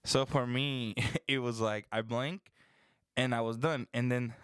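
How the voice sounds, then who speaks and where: A man's voice: mid-range voice, measured pace, smooth, dry recording, clean studio sound. A young man from the Dominican Republic, rambling in casual chat as a podcast host. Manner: relaxed, rambling, says "bro".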